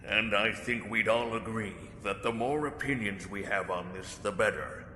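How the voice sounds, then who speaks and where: A man's voice: lowish voice, steady tone, moderate pace, bright, forceful tone, a little hiss or hum. A man playing a businesslike council leader, formal and firm, in a sci-fi drama. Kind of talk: sci-fi audio drama acting. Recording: echoey hall, clear background ambience.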